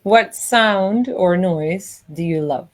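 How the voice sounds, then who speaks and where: A woman from Israel hosting an interview podcast, chatty and animated, laughing easily. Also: on the low side, slow pace, a little hiss or hum. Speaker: podcast host. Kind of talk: casual interview chat.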